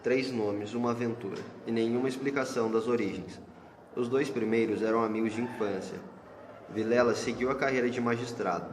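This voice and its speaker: Narrator, male, mid-pitched, reading literary radio drama text in a noisy, reverberant hall. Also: fairly even intonation, fairly quick. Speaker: narrator of a school radio play. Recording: echoey hall with clear noise.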